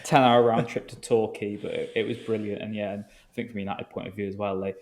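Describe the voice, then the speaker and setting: Croaky English football pundit on a podcast, rapid chatty delivery. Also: male voice, on the low side, fairly even intonation, darkish tone. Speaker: football journalist, podcast panelist.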